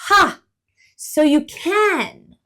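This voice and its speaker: A woman voicing an excitable, curious little male squirrel in a picture-book reading, exclamatory and childlike. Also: slow pace, heavily acted. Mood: excited. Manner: exclamatory, high and loud, childlike questions. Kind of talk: storybook read-aloud voice.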